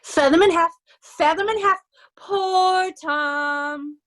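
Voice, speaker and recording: A woman playing a raving mad beggar in an amateur Shakespeare reading, wild and chanting with drawn-out nonsense. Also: very high voice, a bit bright, heavily acted. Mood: raving, tormented. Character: Edgar feigning a raving mad beggar, Poor Tom.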